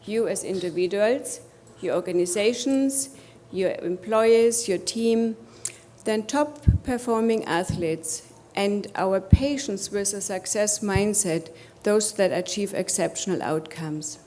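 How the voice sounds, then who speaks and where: A woman's voice: medium pitch, takes it slowly, mellow, a little hiss or hum. German-accented woman giving a motivational talk. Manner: addresses audience with rhetorical questions. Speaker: conference speaker. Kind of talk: motivational conference presentation.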